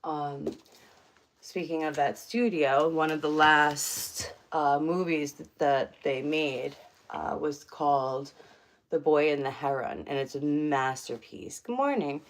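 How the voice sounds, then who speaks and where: A woman's voice: low-pitched, slow pace. A woman casually chatting on a live stream from her home, rambling with many fillers. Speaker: livestream host. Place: home room.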